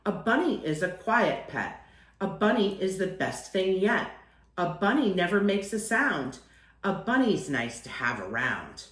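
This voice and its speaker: Woman doing storytime read aloud, really expressive, stretches key words, kinda echoey room. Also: lowish voice, unhurried. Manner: acts out phrases, lingers on key words. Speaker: storyteller reading a picture book aloud.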